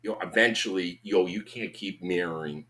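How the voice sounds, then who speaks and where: A man in his fifties with a Boston accent and a very deep, low voice. He talks in a loose, rambling way, often says "you know what I'm saying", and swears without a second thought. It sounds like a relaxed podcast chat. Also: a little fast. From Boston, USA. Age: 50s. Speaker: podcast guest. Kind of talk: casual conversation.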